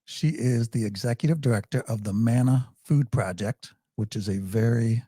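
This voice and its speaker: A man's voice: medium pitch, moderate pace, mellow, no room sound, studio quality. A man hosting a podcast, chatting in a friendly way and asking his guest about her life. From Michigan. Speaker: podcast host. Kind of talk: casual interview.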